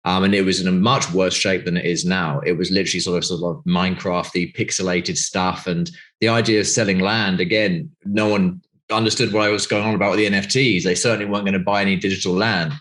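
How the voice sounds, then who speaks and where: A man's voice: low-pitched, fairly even intonation, fast, a bit bright. A British man in his forties from the UK, answering interview questions in a loose, rambling way with many fillers and restarts over a video call. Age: 40s. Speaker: game studio CEO.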